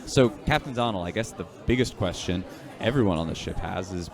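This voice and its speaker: An actor playing a patient, reassuring radio reporter in a sci-fi drama, slightly hesitant. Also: male voice, low-pitched, animated, brisk. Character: patient radio reporter gently humoring his captain. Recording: busy crowd chatter behind.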